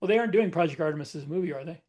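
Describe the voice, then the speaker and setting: A man's voice: fairly high pitch, very fast, a little mellow. A man co-hosting a podcast, opinionated and rambling, often searching for words. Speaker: podcast co-host. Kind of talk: casual podcast discussion.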